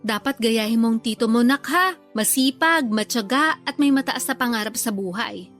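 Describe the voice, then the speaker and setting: Casting: middle-aged working-class mother, high voice, nagging, boastful banter, radio drama with light music. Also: moderate pace, a bit bright. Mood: boastful, teasing. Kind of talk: Tagalog radio drama acting.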